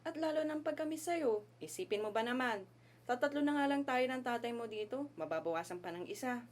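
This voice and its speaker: A woman acts as a worrying mom in an amateur radio play. Her fairly high voice is fretful and sulky, nagging in a loving way. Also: lively intonation, a little fast, natural voice. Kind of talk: amateur radio drama acting.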